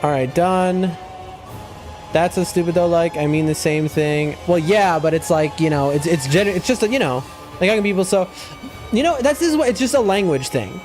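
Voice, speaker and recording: High-voiced excitable male game streamer. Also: normal speed, faint music behind. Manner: excitable, loud outbursts during fights; rambling, many fillers and restarts when chatting. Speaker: gaming streamer. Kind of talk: live gameplay commentary and chat talk.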